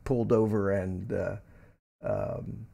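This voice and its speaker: A man's voice: fairly low pitch, fairly even intonation, takes it slowly, creaky, dark tone. A man in his seventies from Philadelphia, hosting a casual morning livestream, rambling with many ums and restarts as he reads out and answers viewer chat. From Philadelphia, USA. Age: 70s. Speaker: livestream host.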